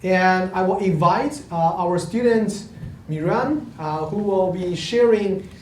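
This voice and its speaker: A man's voice: high-pitched, slow pace. Hesitant man presenting in a roomy hall. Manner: hesitant, many fillers and restarts. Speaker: program speaker at a university info day. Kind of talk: presenting slides to prospective students. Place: lecture hall. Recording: roomy hall, distant mic, faint hum.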